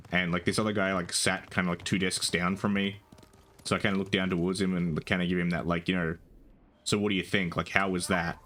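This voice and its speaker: A young man with a soft, soothing voice, chatting casually while streaming a game, rambling with lots of ums and casual swearing. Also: deep voice, slight background noise. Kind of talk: casual gaming stream chat.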